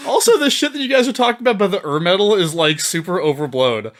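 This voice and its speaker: A man's voice: high-pitched, lively intonation, quick pace, fairly bright tone. A man arguing as a panelist in a casual comic debate, profane and combative. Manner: profane, argumentative.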